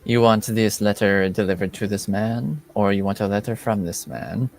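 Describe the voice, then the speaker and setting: A man's voice: on the low side, normal speed, gravelly, soft background music. A man voicing a reserved, formal cloaked female informant in a tabletop game, speaking stiffly without contractions. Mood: measured. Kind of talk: in-character roleplay.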